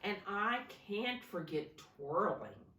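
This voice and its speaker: Excited female storybook bear, acted by a woman, eager exclamations, bouncy temper, swooping pitch, roomy echo. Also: slow pace, far from the mic, heavily acted. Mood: excited, joyful.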